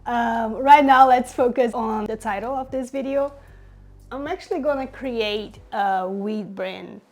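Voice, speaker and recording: Young Brazilian woman, Portuguese native, casual upbeat vlogger voice, slightly high, chatty with fillers. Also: a little slow. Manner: chatty, upbeat, many fillers and little laughs. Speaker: designer vlogger. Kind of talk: casual talk to camera while working.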